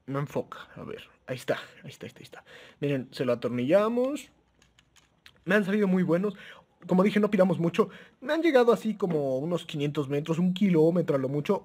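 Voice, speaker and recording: A man's voice: high-pitched, a little mellow. A man giving a casual, informal product review outdoors, hesitant and often repeating or correcting himself as he presents. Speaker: presenter of a gadget review video.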